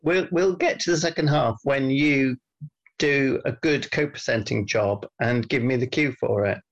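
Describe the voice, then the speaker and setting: A deadpan man co-hosting a comedy podcast, with dry comebacks in a gravelly voice. The sound is dull and a little lo-fi. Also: medium pitch, a little slow. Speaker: podcast co-host. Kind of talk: comic banter.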